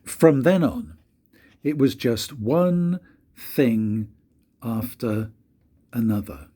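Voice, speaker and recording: Older man, grandfatherly storyteller, mellow husky voice, lively pitch swings, dramatic pauses, children's storybook narration. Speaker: grandfather reading to his grandchildren.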